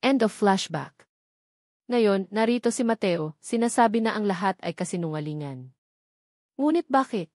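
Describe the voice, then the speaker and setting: A woman's voice: medium pitch, lively intonation, moderate pace, long pauses, a little mellow, no room sound, studio quality. A woman reading a scripted love story as a dramatic narrator.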